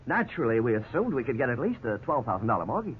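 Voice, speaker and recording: An actor playing a frustrated, indignant young husband in an old radio drama, blunt and plain-spoken. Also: male voice, mid-range voice, very animated, fast, lightly acted. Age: young adult. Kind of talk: 1950s radio drama acting. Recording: tinny, noisy old radio broadcast.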